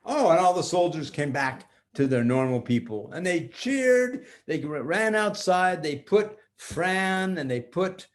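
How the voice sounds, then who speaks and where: Older man telling children a story, higher-pitched. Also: big pitch swings. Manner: simple, repetitive phrasing with drawn-out words. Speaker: grandfather storyteller. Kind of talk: improvised bedtime storytelling for children.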